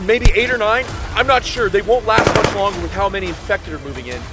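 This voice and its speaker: A man's voice: high-pitched, brisk, natural voice. A man playing a gung-ho squad leader of zombie survivors in an audio drama. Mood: tense. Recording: loud music and gunfire behind.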